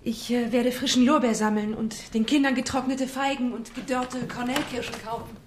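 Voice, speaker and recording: A woman's voice: on the high side, steady tone, normal speed, background noise, lightly acted. An actress playing an anxious, gossiping servant woman in a German radio play, fretful and confiding. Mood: dutiful.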